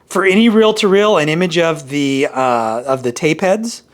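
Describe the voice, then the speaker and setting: A man with a moderately high-pitched voice talks through a casual gear review. He rambles, backs up to rephrase and thinks aloud as he goes. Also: normal speed. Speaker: video reviewer of vintage hi-fi gear. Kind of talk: casual product review talk.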